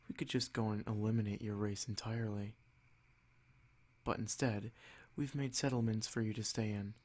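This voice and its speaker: Man playing a low, condescending vampire captor. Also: close to an everyday voice. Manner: condescending, measured, softly taunting. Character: arrogant predatory male vampire captor, condescending and possessive. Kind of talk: ASMR roleplay, scripted monologue.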